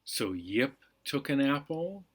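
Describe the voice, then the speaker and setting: Man, mid-range voice, slow pace, deliberate phrasing, children's bedtime storyteller, storytime host. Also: mid-range voice, very animated, fairly bright tone, husky.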